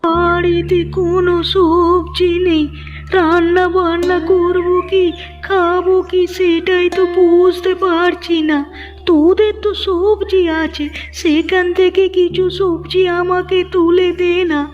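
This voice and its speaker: Actress playing cartoon village woman, extremely high voice, plaintive, fault-finding, slightly muffled recording. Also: level tone, takes it slowly, soft background music. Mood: worried complaining. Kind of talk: Bengali moral-story cartoon dubbing.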